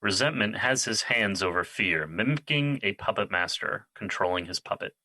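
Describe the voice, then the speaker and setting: A man's voice: on the low side, animated, moderate pace, pushed and bright, dry recording, heavily acted, poor audio. A man reading scripted narration for an audio drama.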